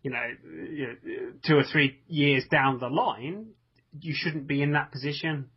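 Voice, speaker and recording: Male podcast co-host, husky and emphatic, muffled recording. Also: fairly high pitch, unhurried. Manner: emphatic, frequent restarts. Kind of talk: casual chat.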